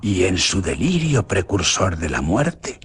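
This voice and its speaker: A man's voice: big pitch swings, unhurried, slightly rough. A man narrating a radio horror drama in a theatrical, dramatic way. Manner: theatrical, with big swings in pitch. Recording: noisy radio drama recording.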